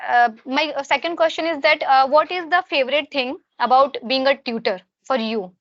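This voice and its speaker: A woman's voice: high-pitched, moderate pace, no room sound, muffled recording. A woman interviewing a tutor, asking questions hesitantly and often stopping to find her words. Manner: hesitant, many fillers and restarts.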